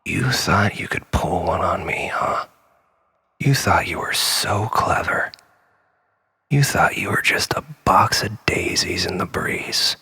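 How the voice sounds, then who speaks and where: A man playing a bitter, manic villain in a comic dramatic monologue, taunting theatrically in a breathy rasp. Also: sits low, expressive, slow, sharp tone, in a whisper, heavily acted. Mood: seething. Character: unhinged gloating male villain, bitter and manic.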